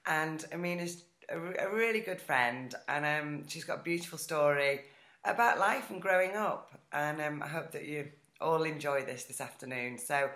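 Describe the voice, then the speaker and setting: Low-voiced woman hosting, echoey room. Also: fairly bright tone. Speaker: interview host. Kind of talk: casual interview introduction. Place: indoor room on a video call. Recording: roomy, echoing video call sound.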